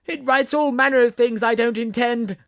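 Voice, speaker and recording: A man's voice: very high-pitched, steady tone, moderate pace, a bit bright, dry recording, clean studio sound, voice pushed up. Man putting on a spluttering, panicky little chess king. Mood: flustered, panting. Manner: aghast, spluttering, dramatic. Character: small, pompous, panicky old chess king.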